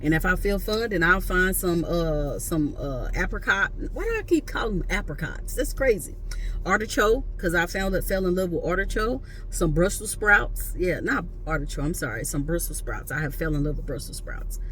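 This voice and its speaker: A woman's voice: lowish voice, lively intonation, moderate pace, fairly bright tone. A woman in her late thirties, chatting casually and playfully to her viewers, slangy and loose. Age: late 30s. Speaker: vlogger. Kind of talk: casual talk to camera.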